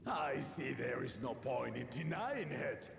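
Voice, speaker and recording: Gravelly, unhurried old warrior king. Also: mid-range voice, big pitch swings, full character voice. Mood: calm, reassuring. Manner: stately, formal, measured. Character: noble aging warrior king, proud fond father. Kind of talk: scripted video game acting. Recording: echoing, music behind.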